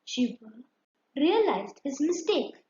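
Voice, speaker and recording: A woman narrating a children's story in an expressive, deliberate storytelling style. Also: high-pitched. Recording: roomy echo, dull lo-fi sound.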